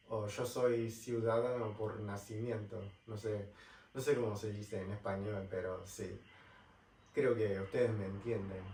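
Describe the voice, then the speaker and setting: A young man from California speaking with an Argentine accent in a casual vlog, halting and searching for words, recorded in a rental apartment. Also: on the low side, darkish tone, slight background noise. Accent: Argentine Spanish. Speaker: travel vlogger. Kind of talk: casual talk to camera. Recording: roomy, distant mic, faint ambience.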